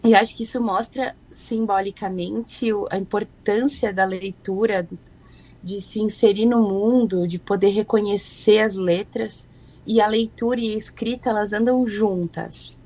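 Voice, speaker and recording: A woman talks in an easy, reflective manner. Her voice sits at an ordinary middle pitch. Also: steady tone, unhurried, dark tone, dull audio. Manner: reflective, thoughtful. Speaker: guest educator. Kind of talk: conversational explanation.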